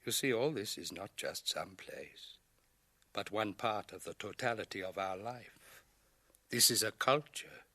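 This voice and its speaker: A man's voice: fairly low pitch, animated, unhurried, bright, forceful tone, exaggerated voice. An actor playing a proud, solemn village headman in a radio drama, declaiming and challenging with rhetorical questions. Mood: solemn, reverent. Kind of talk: 1980 radio drama acting.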